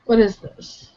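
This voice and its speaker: A young woman from Louisiana, streaming her drawing and chatting casually, giggly and easily distracted. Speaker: streamer drawing art live. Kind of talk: casual chat while drawing. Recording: muffled voice chat audio.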